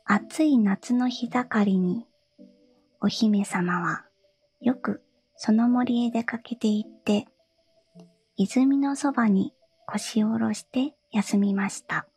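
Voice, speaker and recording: Woman, fairy-tale narration, breathy, whispered, slightly high. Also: slow pace, soft background music. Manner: soft whisper.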